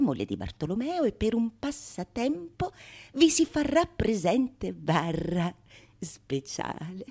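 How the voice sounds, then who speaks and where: A woman actor performing a theatrical monologue in a declamatory manner, with dramatic pauses and relish for long lists of words. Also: medium pitch, darkish tone. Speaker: solo stage actress. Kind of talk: theatrical monologue of a Renaissance comedy.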